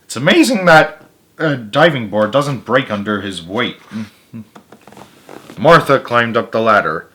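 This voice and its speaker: Slow-paced man reading a storybook aloud. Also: medium pitch. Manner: expressive, with emphatic character lines and muttered asides. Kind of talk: read-aloud storytelling with spoken asides.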